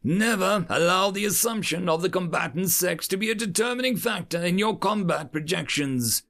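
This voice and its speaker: Calm alien academy instructor, played by a male narrator, raised pitch, didactic and measured, sci-fi audiobook dialogue. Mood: firm, calm. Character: calm, lecturing alien military educator addressing cadets.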